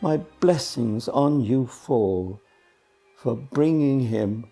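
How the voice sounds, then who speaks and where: A man reciting a children's verse story in a measured, dramatic, expressive way. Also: medium pitch. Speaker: poetry reader. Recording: music behind the voice. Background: music.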